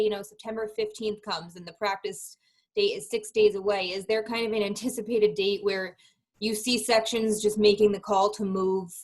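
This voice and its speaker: Woman journalist, medium pitch, conversational delivery, posing a question over a video call. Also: low-quality recording. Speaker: reporter asking a question. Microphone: video conference call.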